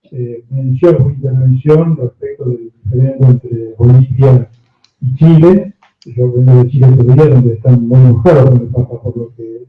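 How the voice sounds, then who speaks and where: A man posing a long spontaneous question at a panel, with halting, repetitive phrasing. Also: medium pitch. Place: echoey indoor room at panel event. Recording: thin phone-like sound, echoey room.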